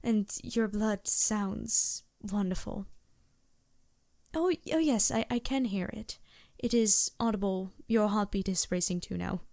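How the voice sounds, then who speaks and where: A woman acting as a genteel female vampire merchant in a fantasy audio roleplay. She is courteous, earnest and a little playful, with formal phrasing and hesitant asides. The voice is close and very dry, at a medium pitch. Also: a little slow, vocal fry, darkish tone, no echo. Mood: earnest, reassuring.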